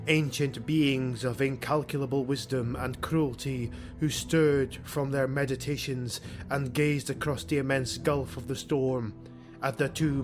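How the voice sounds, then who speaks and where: A man narrating a fantasy audiobook in a grave, theatrical, dramatic scripted style. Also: mid-range voice. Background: music.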